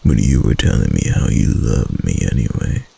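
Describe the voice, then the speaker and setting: A man voicing a doting, obsessive kitsune husband in an intimate ASMR roleplay, breathy and gravelly, with hesitant, coaxing endearments. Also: extremely low pitch, expressive, normal speed, dark tone, full character voice. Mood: tender, obsessive. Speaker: voice actor in a roleplay.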